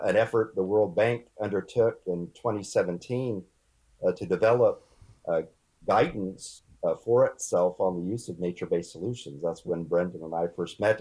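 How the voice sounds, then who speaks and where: A man from California, a research scientist guest, speaking in a measured way with occasional fillers. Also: deep voice, darkish tone, rough recording. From California's San Joaquin Valley. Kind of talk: podcast interview answer.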